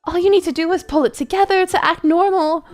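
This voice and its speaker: A woman's voice: extremely high pitch, brisk, darkish tone, soft background music. A woman playing an anxious mother in a drama, coaxing and fussing over her troubled eldest son with pleading insistence. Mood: pleading, insistent. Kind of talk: dramatic character acting.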